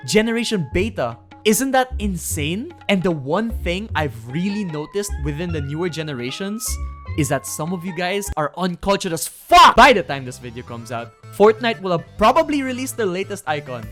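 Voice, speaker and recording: A young adult narrating a casual video essay in an animated, informal, sweary way. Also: mid-range voice. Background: background music.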